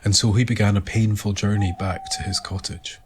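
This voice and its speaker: A man narrating a scripted documentary story in a measured, deliberate manner. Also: lowish voice, level tone, a little hiss or hum. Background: music.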